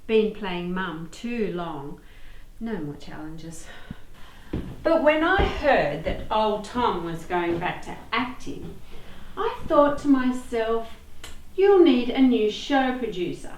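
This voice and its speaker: A woman playing a scheming, bossy veteran radio actress in a comedy drama, deliberate and self-satisfied. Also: medium pitch, sing-song, a little mellow, a little hiss or hum. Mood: smug, brisk. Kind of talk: amateur-style comedy drama dialogue. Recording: echoey room.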